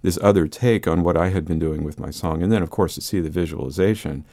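An older man with a baritone voice, explaining and telling stories as the guest in a conversational radio interview. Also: quick pace, dark tone, no room sound. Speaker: interview guest, space scientist and musician.

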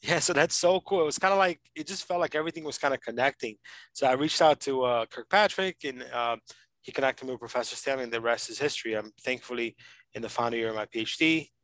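A man's voice: mid-range voice, fairly bright tone, no echo. Earnest Brazilian-born man, dry sound. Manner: earnest, fast, many fillers and restarts. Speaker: podcast host, PhD student. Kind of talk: casual conversational interview.

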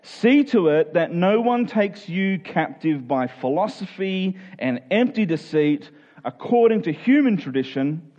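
A man with a slightly high-set voice delivers a sermon in an informal, conversational style. He speaks as a preacher who explains things plainly to his congregation. The recording is somewhat dull and lo-fi. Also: big pitch swings, a little slow, mellow. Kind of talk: conversational sermon with everyday illustrations, prayer and scripture reading.

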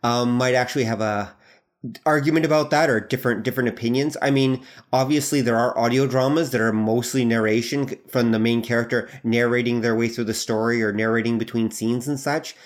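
A man who writes and teaches audio drama, answering interview questions in a measured, explanatory way. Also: mid-range voice, steady tone.

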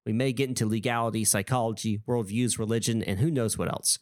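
Measured, low-voiced male podcast host with a cold. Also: steady tone, no room sound, clean studio sound. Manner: measured, deliberate delivery. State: has a cold, sniffly. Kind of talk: scripted podcast intro then hosting a discussion.

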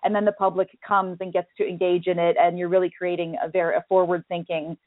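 A woman's voice: lowish voice, flat delivery, quick pace, dry recording. Enthusiastic woman radio host, dry and tinny. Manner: enthusiastic, rambling. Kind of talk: call-in radio interview.

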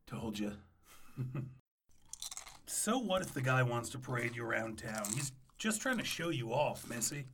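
An actor playing a scruffy, easygoing ex-boyfriend in a comedic audio drama, casual and teasing. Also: male voice, mid-range voice, sing-song, a little slow, long pauses, fairly bright tone, slight background noise. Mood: teasing, coaxing.

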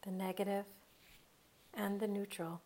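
Slow-paced woman guiding a meditation. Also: mid-range voice, level tone, darkish tone. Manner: slow, unhurried, soothing delivery with long pauses. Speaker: meditation guide. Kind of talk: guided meditation narration.

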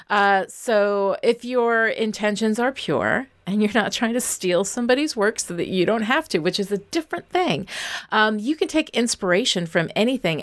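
Woman, slightly low pitch, interview guest, teacher, encouraging, explanatory, conversational.

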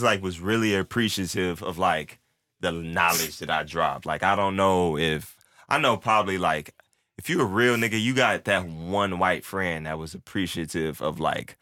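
A man's voice: sits low, moderate pace, bright, forceful tone, no room sound, clean studio sound. A young man talking in a loose, rambling way, with lots of filler, and acting out what his friends said. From born in Turkey, raised in the United States. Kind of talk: casual chat.

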